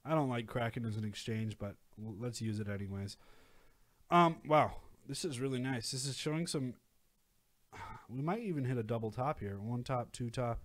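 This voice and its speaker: A young man talks through charts in a loose, rambling way, stopping and restarting his sentences and filling gaps with "uh" and "you know." His voice sits at an ordinary middle pitch and is getting a bit worn out. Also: normal speed. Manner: rambling, many fillers and restarts. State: losing his voice. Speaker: crypto channel host. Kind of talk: casual screen-share chart commentary.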